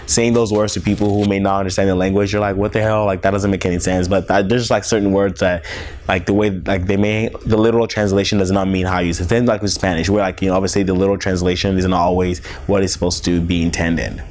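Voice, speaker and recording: A young man from Nigeria with a Nigerian accent, Yoruba his first language, giving halting casual interview answers full of "like" fillers. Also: low voice, level tone, a little mellow, a little hiss or hum.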